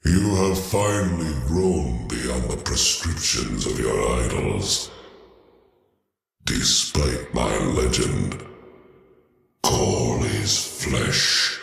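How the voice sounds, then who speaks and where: A man's voice: rumbling low, creaky, a bit bright, exaggerated voice. An actor playing a gigantic, decadent alien emperor in a video game, grandiose and theatrical, savouring his menace. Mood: gloating. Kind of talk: video game dramatic acting. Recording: cavernous echoing reverb.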